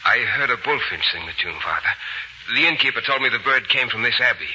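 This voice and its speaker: An actor playing a deferential, worried royal concertmaster, hesitant and polite, in a 1940s radio drama. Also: male voice, medium pitch, animated, a little fast, pushed and bright. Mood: earnest, inquiring. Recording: old noisy muffled radio broadcast.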